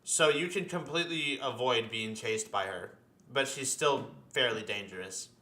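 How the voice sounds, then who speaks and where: A man's voice: mid-range voice, moderate pace, bright, forceful tone. A man chatting casually and emphatically about video game monsters, as a host who swears a lot. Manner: profane, emphatic. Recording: roomy echo.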